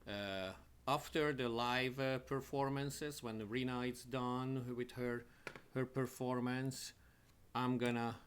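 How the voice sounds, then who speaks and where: A man's voice: mid-range voice, steady tone, smooth. Man hosting a home live stream. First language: Hungarian. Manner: halting, restarts while fiddling with the setup. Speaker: host of a live online show. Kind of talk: casual live-stream talk to viewers. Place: home studio.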